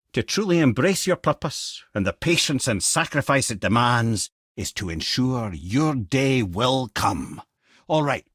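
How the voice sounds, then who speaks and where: An actor playing a witty, middle-aged talking head of an old sage in a video game, with a Scottish accent and a wry storyteller's manner. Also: male voice, mid-range voice, fairly bright tone, husky, no room sound, studio quality. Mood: thoughtful. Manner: wry, loquacious storyteller, avuncular.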